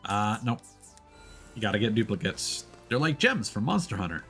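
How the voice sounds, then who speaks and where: A man's voice: fairly low pitch, moderate pace, soft background music. A man giving playful, casual gameplay commentary as a streamer, sometimes reading game text aloud. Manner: playful, expressive.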